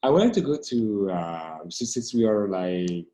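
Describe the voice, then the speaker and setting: Man, interviewer, fairly deep voice, very soft mellow tone, halting phrasing. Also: big pitch swings. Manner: halting, roundabout questions. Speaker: interviewer and moderator. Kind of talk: artist talk interviewing, conversational.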